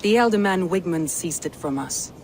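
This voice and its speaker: Proud Norse warlord, stern, measured, guarded, game cutscene, steady noise behind. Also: female voice, on the low side. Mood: determined. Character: proud, resolute female Norse warlord, middle-aged. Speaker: Norse lord of a city. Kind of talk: video game cutscene acting.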